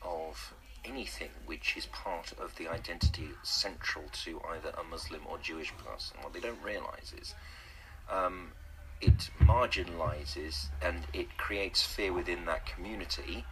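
A man's voice: deep voice, moderate pace, rough recording. A man hosting a radio discussion, talking emphatically and rhetorically, with plenty of ums and uhs. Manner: emphatic, rhetorical, with many fillers. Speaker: radio host.

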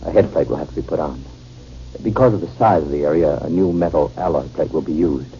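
A man acting in a 1950s radio drama, playing a brisk, respectful assistant doctor. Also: rumbling low, warm tone. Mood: sober, reassuring. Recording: muffled, noisy 1950s radio recording.

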